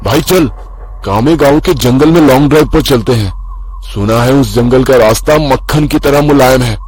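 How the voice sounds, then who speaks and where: A man voicing a brash, carefree young male friend in a horror story, speaking excitably and emphatically. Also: medium pitch, moderate pace, slight background noise, pitched above the performer's own voice, lightly acted, low-quality recording. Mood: eager. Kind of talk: acted dialogue in a horror story.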